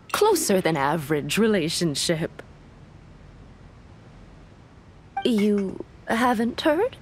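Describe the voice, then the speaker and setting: Chatty, curious young merchant. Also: female voice, on the high side, a little hiss or hum. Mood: teasing. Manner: lively, inquisitive, gossipy. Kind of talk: video game dialogue.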